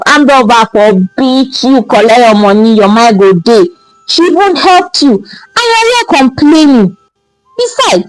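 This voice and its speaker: A woman's voice: high voice, no room sound, low-quality recording. A woman with a Nigerian accent voicing an exasperated young man who scolds his friend with emphatic questions and interjections in a folktale.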